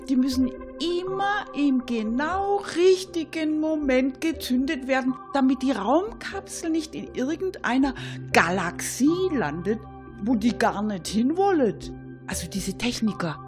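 A woman's voice: high voice, a little mellow. A woman playing a gossipy, opinionated Swabian cleaning lady in a comic radio monologue, folksy and mock-naive. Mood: chatty. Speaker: cleaning lady character in a satirical radio show.

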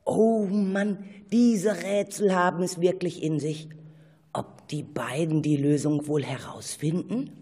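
A woman's voice: fairly low pitch, slow, mellow, slightly rough. A woman presenting a scripted children's radio show as host, putting questions to listeners and callers.

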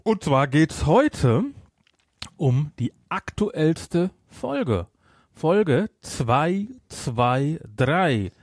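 A man's voice: a little high, sing-song, very slow, no echo, clean studio sound. A man hosting a podcast in a casual, rambling chat, often interrupting himself. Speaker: podcast host.

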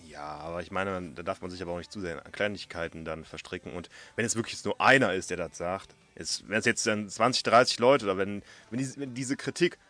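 A man's voice: low voice, fairly quick, hardly any pauses, pushed and bright, no echo. A man co-hosting a podcast, chatting casually in a joking, slangy way. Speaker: podcast co-host. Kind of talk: casual chat.

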